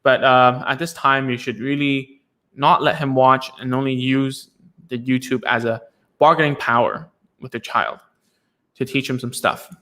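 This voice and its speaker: A man's voice: medium pitch, fairly even intonation, measured pace, bright, forceful tone. A man hosting a casual live stream Q&A as a speech therapist, talking loosely with many fillers and restarts.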